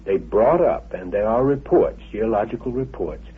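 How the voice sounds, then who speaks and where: Husky low-voiced man on muffled old radio. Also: expressive. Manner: halting, deliberate, explanatory phrasing. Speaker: interviewee recounting his father's teachings. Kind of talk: unscripted interview explanation. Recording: muffled, band-limited old radio recording.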